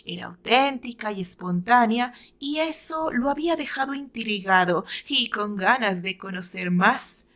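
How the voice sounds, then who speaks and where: A woman's voice: on the high side, expressive, a little slow, hardly any pauses, a bit bright. A woman with a Mexican accent narrating a romantic story aloud in a dramatised, scripted style.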